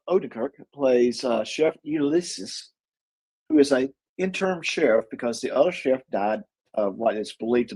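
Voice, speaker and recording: A man's voice: mid-range voice, mellow, husky, rough recording. A man co-hosting a casual show, summing up a movie with lots of fillers, restarts and laughs. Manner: rambling, many fillers and restarts; laughs often. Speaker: podcast co-host. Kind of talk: casual movie review chat.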